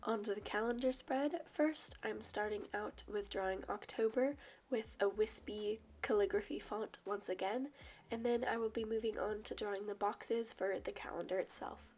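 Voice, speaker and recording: A young woman with a hoarse, croaky voice from illness, calmly explaining each step of her drawing in a voice-over. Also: mid-range voice, moderate pace. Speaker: bullet journal vlogger. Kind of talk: voice-over narration of a drawing tutorial.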